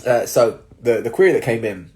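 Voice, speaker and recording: Man, young adult, stuffy nose, slightly nasal, mellow voice, casual coaching advice, explanatory with fillers. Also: mid-range voice, a little fast. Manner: explanatory, with tag questions and fillers. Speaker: personal trainer and jiu-jitsu coach. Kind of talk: casual advice talk to viewers.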